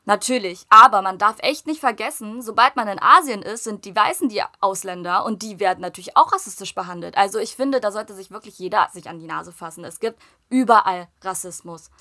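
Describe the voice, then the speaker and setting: A woman's voice: mid-range voice, fairly quick. A young woman raised in Germany, chatting casually and telling stories with acted-out dialogue and frequent laughter. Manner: lively storytelling, acts out dialogue, laughs often. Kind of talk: casual chat.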